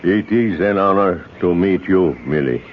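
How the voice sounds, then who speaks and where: A low-pitched man's voice plays an educated, anxious camp servant in a 1970s radio drama. His speech is careful, formal and deferential. The broadcast is dull with light background noise. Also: a little slow. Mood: humble, obedient. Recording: muffled, noisy old radio broadcast.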